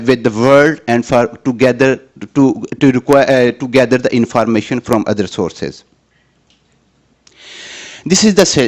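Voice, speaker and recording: A man from Pakistan, giving a conference presentation in a hesitant, halting way with many restarts. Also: mid-range voice, darkish tone.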